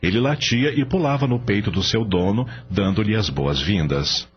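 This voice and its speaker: A man narrating a radio drama in a measured, expressive storytelling style. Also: fairly low pitch, slightly rough. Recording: dull lo-fi audio with music behind.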